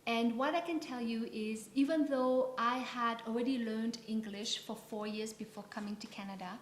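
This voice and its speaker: A woman's voice: fairly high pitch, fairly even intonation. Woman's voice in an echoey room. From Vietnam. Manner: measured, storytelling. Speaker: guest. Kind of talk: interview conversation. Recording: echoey room, faint ambience.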